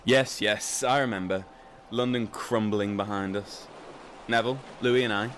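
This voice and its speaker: Male actor as eager, declamatory reminiscer in a literary audio play; lowish voice, some background noise. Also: fairly even intonation, a little slow, a bit bright. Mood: nostalgic, animated. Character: eager, wordy man reminiscing about boarding school. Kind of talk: literary radio drama acting.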